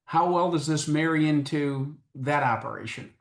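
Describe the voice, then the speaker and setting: A man's voice: on the high side, moderate pace, gravelly. A man hosting a conversational interview, putting questions to a guest. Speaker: interview host. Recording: echoey, roomy sound.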